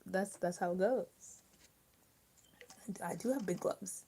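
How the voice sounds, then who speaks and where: A young woman hosting a casual livestream while she eats dinner. She rambles and drops often into soft near-whispers. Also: fairly low pitch, a little slow, gravelly, a little hiss or hum. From US mid-Atlantic, rural border area. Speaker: livestream host. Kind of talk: casual chat with live viewers while eating.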